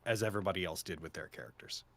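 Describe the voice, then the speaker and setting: A man who works as a voice actor, talking casually and reflectively in a talkative, expressive way. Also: low-pitched, racing, gravelly. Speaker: voice actor in an audio drama. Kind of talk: casual reflective cast chat.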